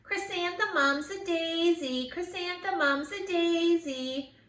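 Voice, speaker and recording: Woman as a snooty, high-pitched little schoolgirl. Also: takes it slowly, fairly bright tone, a little hiss or hum, heavily acted. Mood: mocking. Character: mocking little schoolgirls, snooty and easily impressed. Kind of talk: children's storybook read aloud. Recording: echoey room, distant mic.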